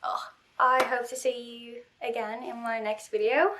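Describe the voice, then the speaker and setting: A woman's voice: a little high, little pitch movement, fairly bright tone. Sniffly young woman rambling about her cosplay. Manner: rambling, self-deprecating, halting restarts. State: stuffy with a cold, sniffling. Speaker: cosplay vlogger. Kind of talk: casual vlog talk.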